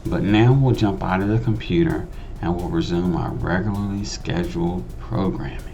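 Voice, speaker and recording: A man's voice: low voice, normal speed, mellow, faint music behind. A man giving a casual tutorial voice-over in a conversational, explanatory way, repeating phrases for emphasis. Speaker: host of a video editing tutorial channel.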